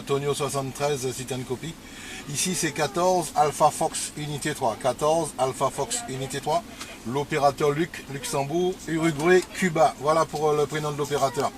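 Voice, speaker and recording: A man working as an amateur radio operator, giving a casual report in a radio exchange. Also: fairly high pitch. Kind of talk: casual ham radio exchange, spelling call signs. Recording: noisy shortwave radio transmission.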